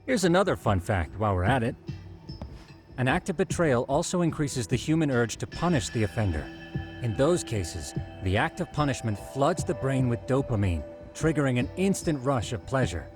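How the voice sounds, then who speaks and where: An actor playing a precise, lecturing detective who explains facts formally in a video game. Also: male voice, mid-range voice, very animated, normal speed, over music, close to an everyday voice.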